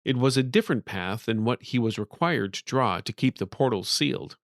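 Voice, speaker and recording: A man's voice: mid-range voice, animated, normal speed, no room sound, clean studio sound. A man narrating a scripted fantasy story as an audiobook storyteller.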